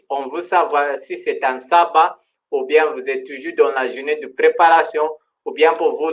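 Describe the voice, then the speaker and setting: A man whose first language is not French hosts a live stream in a fairly high voice. He chats loosely, laughs a lot and stumbles over restarts as he goes. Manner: laughs often, halting restarts. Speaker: co-host of a livestream. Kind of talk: casual live-stream chat.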